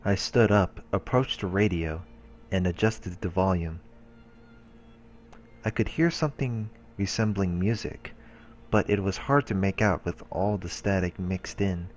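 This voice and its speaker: A man hosting a let's play, reading a visual novel's narration aloud in a calm, measured way. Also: sits low. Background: game music and voices.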